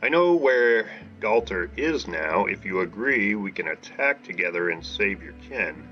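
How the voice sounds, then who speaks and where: A man's voice: fairly low pitch, lively intonation, measured pace. A man reading the lines of a vengeful male ex-pirate rogue from a game in a plain voice, over dull lo-fi audio with faint music. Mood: blunt. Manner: reads dialogue aloud in a plain voice.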